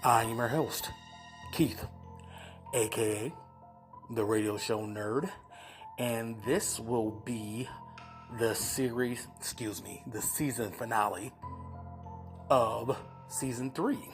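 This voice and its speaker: A man hosting a podcast, introducing old radio plays with dramatic pauses between short phrases. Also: mid-range voice. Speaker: podcast host. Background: music behind the voice.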